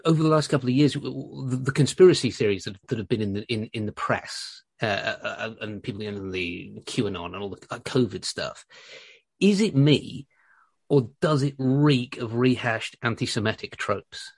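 A man hosting a casual interview, putting questions in an informal, somewhat halting and tentative way. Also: medium pitch, vocal fry, husky, dry recording. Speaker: interview host.

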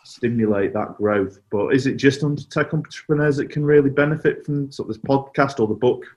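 A man's voice: mid-range voice, a little fast, darkish tone. A man hosting a podcast, asking his guests questions in a friendly, prompting way. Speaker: podcast host, interviewer. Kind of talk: casual podcast interview.

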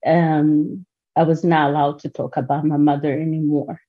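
Low, husky woman. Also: level tone. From Rwanda. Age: 40s. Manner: earnest, measured, with pauses. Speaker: podcast guest. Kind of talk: personal testimony. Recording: muffled lo-fi recording.

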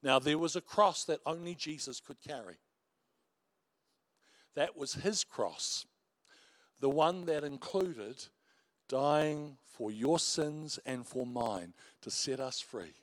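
Big-voiced preacher man, lively storytelling. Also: on the high side, slow pace, long pauses, no echo. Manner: animated storytelling preacher, warm asides and self-mocking laughs. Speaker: pastor. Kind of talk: sermon.

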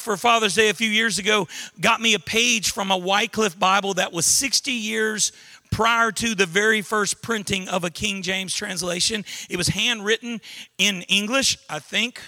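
A man's voice: high-pitched, steady tone, normal speed, bright, forceful tone. A middle-aged man with a Southern accent from Louisiana, preaching a sermon as pastor with folksy jokes, asides and frequent restarts.

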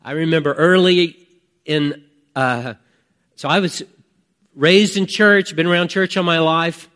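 A man in his fifties preaching a conversational sermon, reflective and emphatic, with deliberate pauses and repeated phrases. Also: on the high side, fairly bright tone. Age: 50s. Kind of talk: conversational sermon with personal testimony.

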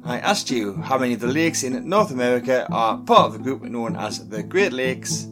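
An upbeat man presents a podcast quiz, his pitch bouncing widely as he reads the questions. He speaks slowly and clearly and sounds encouraging. A light tune plays faintly underneath. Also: lively intonation, music in the background. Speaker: quiz host.

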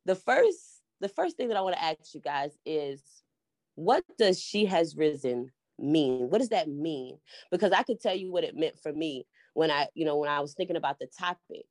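A woman's voice: low voice, animated, moderate pace, a little mellow, no room sound. A woman hosting a panel podcast, rallying her guests with energy. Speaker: podcast host.

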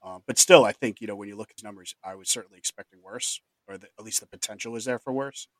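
A man's voice: on the low side, dry recording, rough recording. A man hosting a casual podcast chat, steering the talk along with plenty of fillers. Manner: fast-talking, steering the discussion with fillers. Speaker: podcast host.

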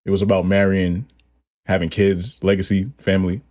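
A man's voice: low voice, flat delivery, moderate pace, darkish tone. A man co-hosting a podcast, slangy and probing as he teases and questions the guests. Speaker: podcast co-host. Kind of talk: casual chat.

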